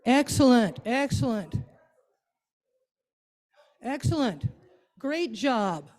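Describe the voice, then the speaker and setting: Woman with a mellow voice, informal speaker. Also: on the high side, animated, very slow. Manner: playful, coaxing, conversational. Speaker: guest speaker leading an interactive church talk. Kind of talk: informal talk to a congregation.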